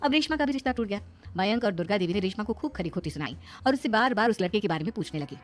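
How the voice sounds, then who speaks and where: A woman's voice: medium pitch, animated, racing, soft background music. A woman narrating a scripted moral story.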